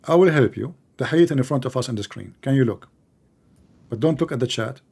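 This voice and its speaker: A man's voice: medium pitch, animated, a little fast, creaky, a little mellow, slightly rough. A man whose first language is Arabic, hosting a live online debate and pressing his point insistently, often repeating himself. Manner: insistent, repetitive, talks over the caller. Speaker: debate host.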